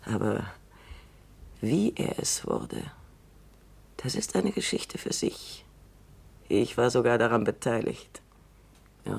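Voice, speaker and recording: A woman in her seventies playing a shrewd retiring robot psychologist in a radio drama, measured and reflective, sometimes dropping to near whispers. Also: rumbling low, gravelly, darkish tone, heavily acted. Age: 70s. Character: shrewd elderly female robot scientist, dryly wry.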